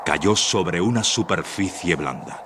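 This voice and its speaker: A man's voice: sits low, measured pace, heavy background noise. A man narrating a suspense radio drama in a solemn, theatrical, scripted style.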